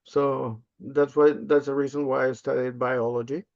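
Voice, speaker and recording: An older man from Peru, a native Spanish speaker, answering interview questions casually and haltingly with frequent ums and uhs. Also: mid-range voice, little pitch movement, vocal fry, darkish tone, dry recording. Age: 50s or older. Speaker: interview guest, language access specialist. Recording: slightly dull, lo-fi close audio.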